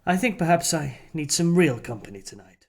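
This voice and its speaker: A man's voice: on the high side, expressive, a little fast, gravelly. A man playing an arrogant, sardonic, brainy dealer in a comic audio drama, speaking in a theatrical, pompous way.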